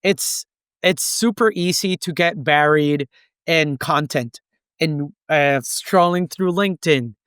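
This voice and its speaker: A man's voice: fairly high pitch, slow, fairly bright tone, clear, resonant tone, dry recording, studio quality. A native Spanish-speaking man with a Puerto Rican accent, giving explanatory advice as a guest in a conversational interview. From Puerto Rico. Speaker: podcast guest, consultant.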